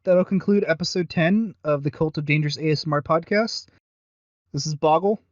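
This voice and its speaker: A man with a fairly high voice hosts a casual podcast review. He talks in a halting, self-deprecating way, recorded very dry with a slightly muffled, lo-fi sound. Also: no echo. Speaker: podcast host. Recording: dry but dull lo-fi audio.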